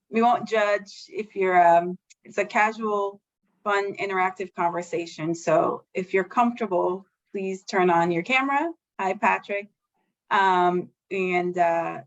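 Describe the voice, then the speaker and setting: A woman's voice: medium pitch, fairly bright tone. A woman hosting a virtual panel as facilitator, talking in a friendly, chatty way with many fillers. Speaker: facilitator, board member. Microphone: video call. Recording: slightly dull video call audio.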